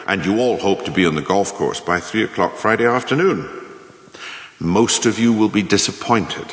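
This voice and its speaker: An actor playing a sardonic, cynical company manager giving a deliberate, rhetorical farewell speech in a radio play. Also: male voice, fairly low pitch, a bit bright. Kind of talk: radio play monologue, after-dinner speech. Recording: echoey hall with long reverb.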